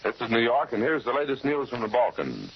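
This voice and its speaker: Husky male anchor on 1940s radio. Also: medium pitch, big pitch swings, fairly quick. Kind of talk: 1940s radio news bulletin, scripted. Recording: muffled 1940s radio broadcast.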